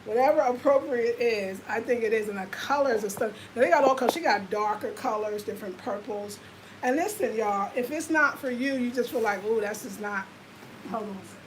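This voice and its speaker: A woman in her fifties with an American accent talks to her viewers in a loose, confiding way, full of "like" and "y'all." Her voice sits fairly high for a woman. A faint noise runs under the recording. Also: brisk, low-quality recording. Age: 50s. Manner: chatty, confiding, lots of fillers. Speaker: lifestyle vlogger. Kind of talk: casual livestream chat.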